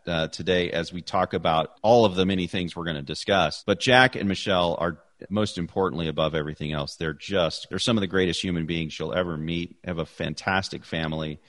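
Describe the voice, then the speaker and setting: A man's voice: low-pitched, a bit bright. A middle-aged man hosting a podcast, chatty and effusive as he introduces his guest. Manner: chatty, effusive, repeats himself. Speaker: podcast host. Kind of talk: casual interview chat.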